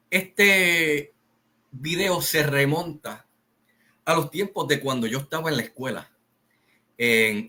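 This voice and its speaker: Middle-aged man, slow deliberate delivery, bright edge, solo commentary host. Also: medium pitch. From Puerto Rico. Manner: slow, deliberate, repetitive, with many pauses and fillers. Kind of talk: opinionated monologue to camera.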